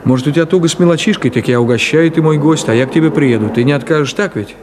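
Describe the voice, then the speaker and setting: Male actor for middle-aged city driver in a radio play: brisk, bantering, bossy, hospitable, with traffic noise behind him. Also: fairly high pitch, a little mellow, natural voice. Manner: bantering, chatty, bossy, colloquial. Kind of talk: naturalistic 1970s Soviet radio play acting. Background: car and street traffic ambience.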